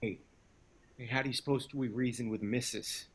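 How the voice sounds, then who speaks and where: A man's voice: medium pitch, steady tone, moderate pace, breathy edge, close to an everyday voice. A man acting in a screenplay table read as a wisecracking activist and ex-soldier, with a casual, conversational, profane delivery. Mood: probing. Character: gruff, wisecracking male activist McKenna, an ex-soldier comforting his dying lover.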